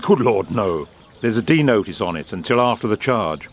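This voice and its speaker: Man playing a low-voiced, suave British wartime official. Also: sing-song, slight background noise. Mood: proud, assured. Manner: urbane, eloquent, measured, grandiloquent. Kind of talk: period audio drama acting.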